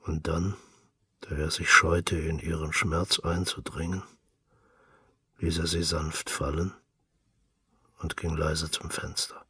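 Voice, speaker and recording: A man narrating a literary story for radio in a measured, solemn manner. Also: very deep voice, little pitch movement, bright, forceful tone, husky, dry recording.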